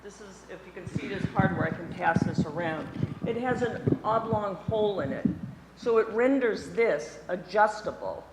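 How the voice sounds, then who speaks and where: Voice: medium pitch, animated, moderate pace, very noisy. Instructor demonstrating in a reverberant hall. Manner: practical, step-by-step explaining while handling tools; chatty with self-deprecating asides. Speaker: window restoration instructor. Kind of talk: live hands-on workshop demonstration. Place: indoor workshop room before an audience.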